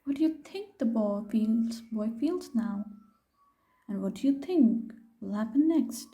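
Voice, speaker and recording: A woman with a high, softly mellow voice reads a children's story aloud. She takes her time, shaping the phrases expressively and stopping to put simple questions to her young listeners. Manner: expressive, unhurried, pausing to ask questions. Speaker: storyteller reading a picture book aloud to children.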